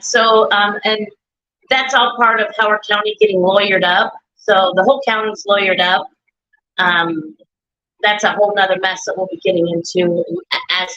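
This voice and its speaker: A talkative woman with a loud, sharp, bright voice, speaking on a live stream. She circles back over her points and repeats words as she goes. The sound is dull and lo-fi, from an echoey room. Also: medium pitch. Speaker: guest, bereaved mother.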